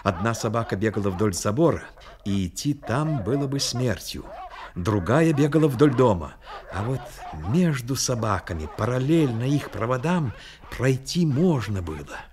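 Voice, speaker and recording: A man narrating a Soviet radio play dramatically, with intense, measured storytelling. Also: mid-range voice, big pitch swings, a crowd in the background. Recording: old radio recording with noisy effects behind.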